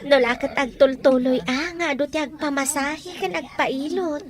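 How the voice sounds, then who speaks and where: A woman's voice: high voice, fairly even intonation, moderate pace, close to an everyday voice. A woman with an Ilocano accent playing a cheerful, hardworking masseuse in an audio drama, easygoing and modest. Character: cheerful, hardworking young masseuse saving her earnings.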